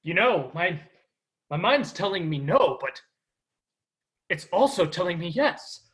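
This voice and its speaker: A vain, pompous superhero prince speaks high and slowly, as a man plays him. His bright voice swings up and down with theatrical flair. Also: big pitch swings, heavily acted. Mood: vain musing. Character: vain, self-loving male superhero prince. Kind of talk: stage play acting.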